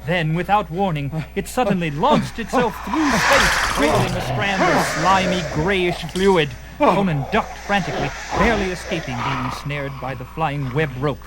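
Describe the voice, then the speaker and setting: A man's voice: high-pitched, expressive, normal speed, heavy background noise. A man narrating a fantasy adventure in a theatrical, suspenseful and emphatic style, with music behind him.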